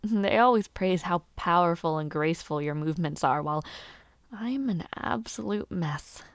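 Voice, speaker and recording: A woman playing a bashful, playful young noble lady who aspires to knighthood in an audio roleplay, with halting, giggly speech and formal wording. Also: fairly low pitch, expressive, no echo, clean studio sound. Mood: self-deprecating, teasing. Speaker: roleplay voice actor. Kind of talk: intimate scripted audio roleplay.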